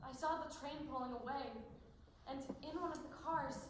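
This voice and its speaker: Woman acting anguished young girl, high voice, stage play, very echoey, distant microphone. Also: moderate pace, lightly acted. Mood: somber. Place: stage in a large room. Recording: roomy distant stage recording, faint noise.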